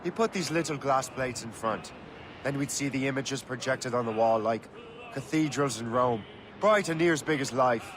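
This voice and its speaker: A man's voice: mid-range voice, moderate pace, lightly acted. A man playing an earnest, questioning young assassin in a video game cutscene. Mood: curious, easygoing. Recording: noisy outdoor ambience behind dialogue.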